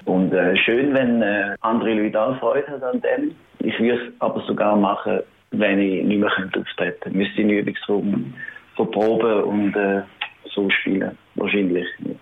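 A man's voice: fairly low pitch, fairly even intonation, normal speed, vocal fry. A man from Schaffhausen with a Swiss German accent, a band musician answering interview questions in a casual, hesitant way with many fillers and restarts. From Switzerland (Schaffhausen). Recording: tinny phone-like line.

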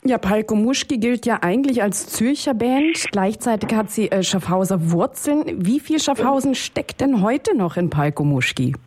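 A woman presenter interviewing a musician, asking friendly, brisk questions. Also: on the high side, animated, background noise. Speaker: radio interviewer.

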